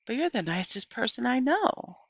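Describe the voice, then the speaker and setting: A woman's voice: on the high side, big pitch swings, normal speed, a bit bright, dry recording, lo-fi sound, pitched above the performer's own voice, exaggerated voice. A woman voicing an earnest, questioning young girl in a storybook, curious and polite with a hesitant manner.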